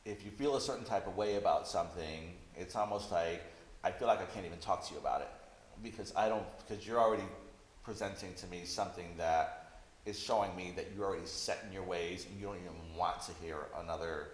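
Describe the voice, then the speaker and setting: A man's voice: low voice, quick pace. A man chatting casually as a guest, in a conversational way full of small checking phrases. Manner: conversational, peppers speech with "you know". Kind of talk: casual chat. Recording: roomy echo with clear background hiss.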